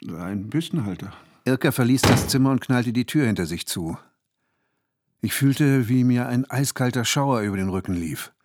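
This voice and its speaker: A man's voice: medium pitch, lively intonation, a little mellow, natural voice. An actor playing an anxious, introspective married man who tells his own story in a radio drama. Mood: tense, agitated. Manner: introspective, switches from measured narration to agitated pleading. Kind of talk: radio drama narration and dialogue.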